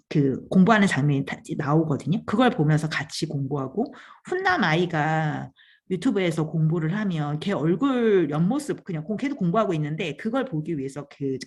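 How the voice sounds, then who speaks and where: Woman, low voice, husky, chatty host, laughs a lot. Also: a little mellow. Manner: chatty, laughs often, trails off mid-phrase. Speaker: channel host giving advice on podcasting. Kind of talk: casual talk to camera.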